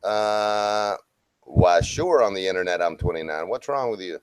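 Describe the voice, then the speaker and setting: A man's voice: sits low, animated, unhurried, clean tone, dry recording. A man joking and boasting in a casual online group chat, often stumbling and restarting. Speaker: livestream chat participant.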